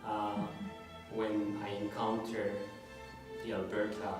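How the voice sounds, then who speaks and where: Male author, Ethiopian accent, slow halting delivery, distant mic in a very echoey space with music. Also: lowish voice, completely flat delivery. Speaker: memoir author, guest speaker. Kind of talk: informal introduction to a public reading. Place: room at a public event.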